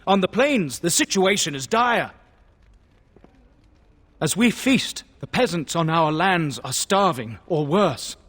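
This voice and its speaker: High pitched worked up nobleman, fantasy game, swoopy earnest delivery, echoey hall. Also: expressive, slow pace, a bit bright, heavily acted. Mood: indignant, pleading. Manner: impassioned, formal. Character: earnest, indignant lord pleading for starving peasants. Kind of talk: scripted fantasy game dialogue. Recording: long hall reverb.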